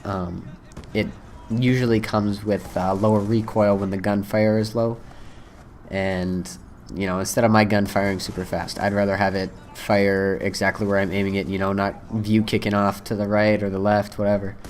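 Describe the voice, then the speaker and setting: A young man, hoarse from a cold, casually rambling through his first impressions with lots of ums and uhs. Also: lowish voice, level tone, moderate pace, a little hiss or hum. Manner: rambling, many fillers. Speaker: gaming video creator.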